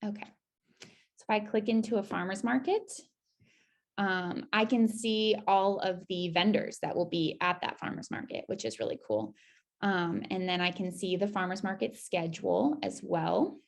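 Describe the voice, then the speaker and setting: Creaky-voiced woman giving a casual demo. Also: medium pitch, animated, moderate pace. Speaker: presenter giving a website demo. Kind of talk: casual screen-share walkthrough.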